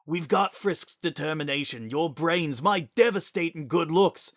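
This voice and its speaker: A man's voice: fairly high pitch, unhurried, dry recording, clean studio sound, voice pushed up, lightly acted. A man voicing a slangy, wisecracking character worn down by grief and desperation in a dramatic story reading. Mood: desperate, pleading. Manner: slangy, informal, emotionally raw.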